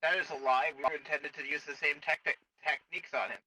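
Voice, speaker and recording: A man playing a blunt, matter-of-fact military medic in improvised sci-fi game roleplay, explaining things haltingly. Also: fairly high pitch, steady tone, sharp tone, slight background noise, low-quality recording.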